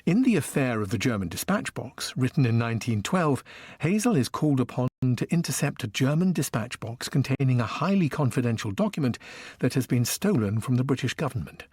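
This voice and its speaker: Male presenter, husky voice, medium pitch, lively intonation, scripted introduction, dry close sound. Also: fairly quick, no echo.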